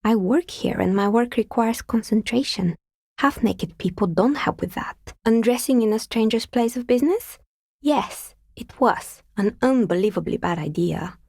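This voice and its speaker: An actress playing a wry, pragmatic woman dicemaker in a video game, speaking in a measured, matter-of-fact way with a husky voice. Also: fairly high pitch, animated, a little mellow, no room sound, clean studio sound, heavily acted. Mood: skeptical, irritated. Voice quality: husky, breathy with some creak. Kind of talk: video game character dialogue.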